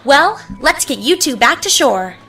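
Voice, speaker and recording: A woman's voice: high-pitched, sing-song, unhurried, sharp tone, full character voice. A woman voicing a practical young female pony in a fan fiction audio reading. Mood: pleased, practical.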